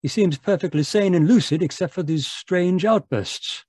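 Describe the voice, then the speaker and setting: Man acting a husky, high-voiced, bumbling gentleman doctor. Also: moderate pace, no room sound, clean studio sound. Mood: concerned, polite. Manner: bumbling, hesitant, proper. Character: genial, rambling, fussy gentleman doctor narrating his adventure. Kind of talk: 1940s radio mystery acting. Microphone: video call. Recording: live performance over video call.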